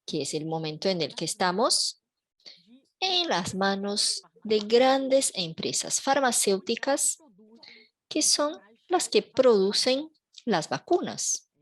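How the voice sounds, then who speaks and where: Woman interpreting a panel, slow and measured, mid pitch. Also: big pitch swings, dry recording. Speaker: simultaneous interpreter.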